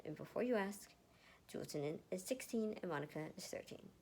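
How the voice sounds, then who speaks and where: An aloof young warrior man, put on by a woman reading a story, talks in a monotone. He is curt and scornful. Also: fairly low pitch, normal speed, a little hiss or hum.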